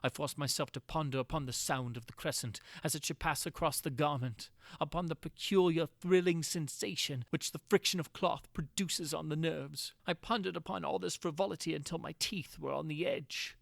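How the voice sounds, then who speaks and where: A man's voice: fairly high pitch, steady tone, moderate pace, vocal fry, few pauses, dry recording, clean studio sound. A man giving a theatrical dramatic reading of a gothic horror story, in the manner of a storyteller moving between dread and intensity. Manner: theatrical, swinging from hushed dread to frantic intensity. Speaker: reader of classic horror tales.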